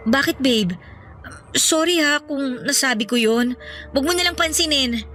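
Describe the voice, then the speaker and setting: Bright-voiced, concerned Filipina girlfriend. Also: high-pitched, expressive, measured pace, soft background music, exaggerated voice. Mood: concerned, coaxing. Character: concerned, outspoken young girlfriend, practical and caring. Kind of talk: radio drama dialogue.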